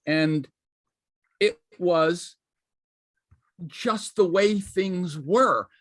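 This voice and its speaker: Man, panelist, high voice, dry close sound, measured storytelling. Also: no echo. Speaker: panelist, writer.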